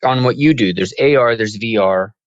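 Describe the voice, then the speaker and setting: A man's voice: fairly low pitch, moderate pace, no echo. A man hosting a casual interview, chatty and throwing in asides between his questions. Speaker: interview host. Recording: dull lo-fi audio.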